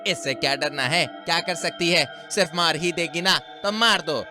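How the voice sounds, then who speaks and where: A man playing a short, cheeky young city man in an animated horror-comedy story. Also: fairly high pitch, moderate pace, music in the background, natural voice. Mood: defiant.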